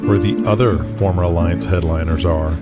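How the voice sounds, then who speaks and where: Casting: male narrator, very low register, dramatic scripted audio drama read over a heavy music bed. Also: steady tone, moderate pace. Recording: loud music and effects underneath.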